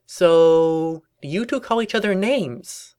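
A performer voicing a bubbly, excitable young female cartoon pony with gushing, exaggerated chatter in a story reading. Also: medium pitch, slow pace, smooth, pitched above the performer's own voice, natural voice. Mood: puzzled, chatty.

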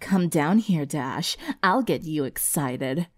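Smug female vampire, voiced by a woman storyteller; slick, taunting, confident, lower and quieter delivery. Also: lively intonation, slow, no echo, clean studio sound, heavily acted. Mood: teasing.

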